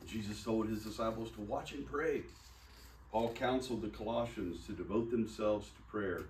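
A man's voice: fairly low pitch, normal speed, a little mellow. A man preaching a sermon, teaching in a folksy, joking way and laughing often. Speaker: pastor. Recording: roomy church hall, distant mic, faint hiss.

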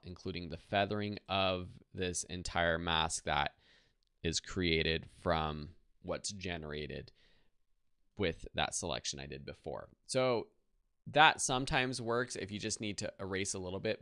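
A man in his thirties giving a casual, easygoing step-by-step software tutorial in a conversational way. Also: low-pitched, smooth, no echo, clean studio sound.

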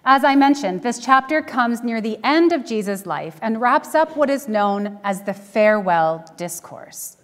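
A middle-aged woman preaching a conversational sermon with dry humour and deliberate pauses, from a church pulpit. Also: a little high, expressive. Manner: wry, dryly humorous, with deliberate pauses. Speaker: minister preaching. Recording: echoey church hall, long reverb.

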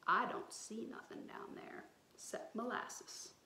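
A woman's voice: takes it slowly, pushed and bright. A woman voicing a sly, wheedling male swamp devil from a storybook, in a sneering, hissed half-whisper. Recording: roomy echo, some background noise.

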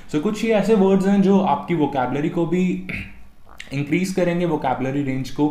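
A young man from India with an Indian accent, teaching exam tips in a video lesson and stressing each tip title. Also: mid-range voice. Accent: Indian English. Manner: energetic, punches each tip title louder. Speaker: test-prep tutor. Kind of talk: scripted video lesson, Hindi-English mix. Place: small room at a desk. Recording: echoey room.

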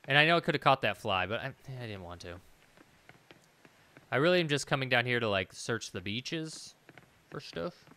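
A man's voice: medium pitch, expressive, normal speed, long pauses, sharp tone. A man in his thirties giving casual, rambling game commentary, thinking aloud as he plays. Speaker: gaming video host.

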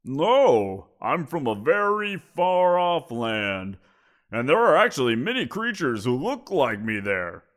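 Man voicing a giant wolf creature, teasing, amused, chuckling, audio roleplay, slow, lively pitch. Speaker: voice actor.

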